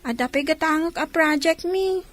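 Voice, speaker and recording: A woman's voice: high voice, moderate pace, natural voice. A woman playing a polite, earnest young schoolgirl and little sister in a radio drama. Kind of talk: Ilocano radio drama acting.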